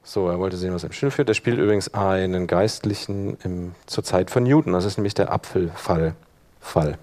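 A man giving an informal live software tutorial as workshop instructor, thinking aloud while he demonstrates. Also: low voice, normal speed.

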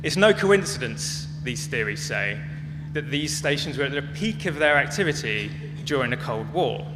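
A man's voice: mid-range voice, expressive, normal speed. A man speaking as a conference presenter, explaining things in a prepared public talk. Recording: echoey hall with clear hum and noise.

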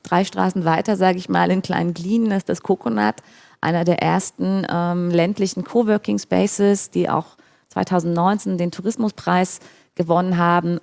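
A woman in her forties from Brandenburg speaks in a somewhat low voice with a noticeable gravelly rasp. She explains in a loose, conversational way, restarting sentences and checking in with her listeners. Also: darkish tone. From Brandenburg, Germany. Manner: discursive, many fillers and restarts, asks "ja?". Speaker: expert guest. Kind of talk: conversational talk about regional development. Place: large room in a rural venue.

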